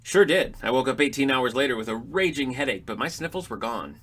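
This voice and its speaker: A man's voice: medium pitch, expressive, brisk, fairly bright tone. An actor playing a wry, sarcastic, slightly uneasy middle-aged father in an audio drama comedy. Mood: wry, reminiscing. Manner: dry, sarcastic. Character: wry, grumbling, uneasy father exploring an inherited house.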